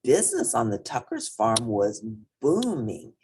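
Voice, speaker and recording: A woman reading a children's picture book aloud as an expressive storyteller. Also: a bass voice, a little slow, noisy background.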